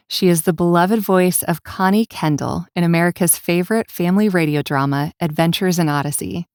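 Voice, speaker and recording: A woman speaks as the host of an interview podcast. Her voice sits a little lower than most women's. The sound is dry, with very little room on it. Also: a little mellow, clear, resonant tone, dry recording. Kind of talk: warm interview with scripted introduction.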